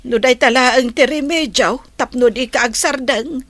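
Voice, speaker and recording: A woman with an Ilocano accent acting a gentle village family role in a radio drama. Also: sits high, normal speed, dry recording. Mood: reassuring.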